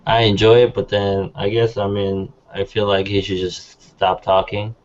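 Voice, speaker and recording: A man's voice: on the low side, little pitch movement, moderate pace, dull audio, slight background noise. A man chatting casually as a panelist, blunt and slangy in how he talks. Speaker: panelist on a music talk show. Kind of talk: casual chat.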